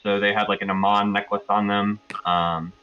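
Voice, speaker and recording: A man's voice: lowish voice, level tone, moderate pace. A man who plays in a tabletop roleplaying game, giving a casual, hesitant recap from memory on slightly dull audio. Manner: hesitant, loosely recalling, hedging.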